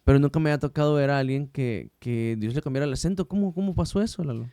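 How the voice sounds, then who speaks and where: Male host, relaxed smooth tone, dry close mic, talkative with lots of restarts. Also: mid-range voice, quick pace, no room sound. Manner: chatty, slangy, many restarts. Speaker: podcast host. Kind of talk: casual podcast conversation.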